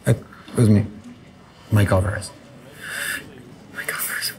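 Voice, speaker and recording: A man's voice: lowish voice, steady tone, a little slow, a little mellow, poor audio. An actor playing a nervous young male student programmer who rambles awkwardly and tries too hard. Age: young adult.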